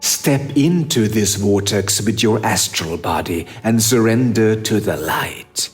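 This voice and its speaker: A man narrating a scripted guided meditation in a measured, instructive manner with long pauses. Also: fairly low pitch, animated, faint music behind.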